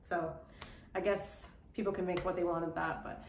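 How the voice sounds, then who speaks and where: A woman's voice: lowish voice, little pitch movement, moderate pace, vocal fry, slight background noise. A young woman talking reflectively and casually to camera in a personal vlog. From born in Ethiopia to South Sudanese parents, grew up in Minnesota, United States. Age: young adult. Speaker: vlogger, model and actress. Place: small indoor room by a window. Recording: echoey room.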